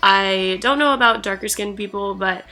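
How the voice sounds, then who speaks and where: A woman with a stuffy nose from a cold, talking through a makeup tutorial in an excited, chatty way. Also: mid-range voice, moderate pace, echoey room, soft background music, rough recording. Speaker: beauty YouTuber.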